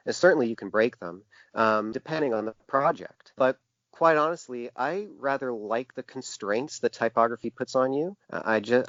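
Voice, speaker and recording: A man giving thoughtful, halting answers as an interview guest, often repeating words as he thinks. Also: medium pitch, dry recording. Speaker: interview guest, publisher and graphic designer. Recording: dull, lo-fi, dry sound.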